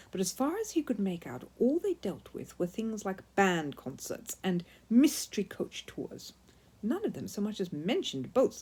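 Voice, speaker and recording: A woman narrating a children's story in an expressive, emphatic read-aloud style. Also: fairly low pitch, slightly rough.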